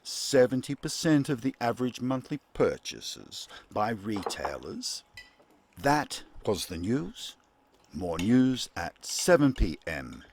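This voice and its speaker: A man playing a grave, formal 1940s radio newsreader in a radio play, with deliberate, halting phrasing. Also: mid-range voice, very animated, a little mellow, slight background noise.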